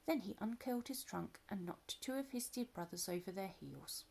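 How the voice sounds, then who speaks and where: A woman reading a children's story aloud in an expressive, playful storytelling manner. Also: mid-range voice, moderate pace, gravelly, breathy edge.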